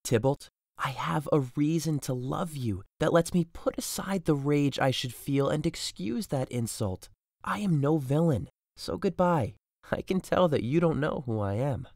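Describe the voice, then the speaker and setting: A man playing an earnest, peace-seeking young gentleman in an audio drama, with a pleading, sincere delivery. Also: on the high side, lively intonation, measured pace, dry recording, studio quality. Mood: calm, conciliatory.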